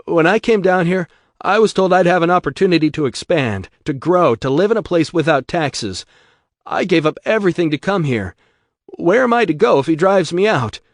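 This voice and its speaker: A desperate shopkeeper begs in a high, strained voice that keeps climbing, as a man reads him out. Each plea comes louder than the last. The sound is close and dry. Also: animated, moderate pace, no echo. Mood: pleading. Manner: high, strained, frantic pleading. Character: desperate middle-aged male shopkeeper, pleading.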